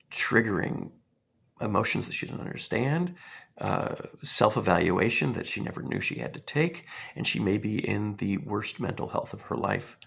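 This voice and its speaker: Hoarse gravelly man, halting commentary. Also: fairly low pitch, a bit bright. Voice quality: gravelly and husky. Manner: halting, many fillers, earnest and measured. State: hoarse from a cold. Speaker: response video maker. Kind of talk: casual spoken commentary.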